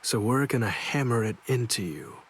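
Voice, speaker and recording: A man's voice: medium pitch, lively intonation, normal speed, slightly rough. An actor playing a laid-back, sly male swordsman leader in a game cutscene dub, drawling and mocking. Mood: stern.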